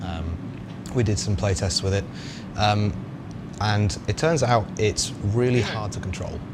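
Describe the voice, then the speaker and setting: A man's voice: lowish voice, measured pace. A man giving casual explanations as a developer, thinking aloud with some hesitation. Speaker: game developer guest answering questions. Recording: clear vehicle and ambient noise behind.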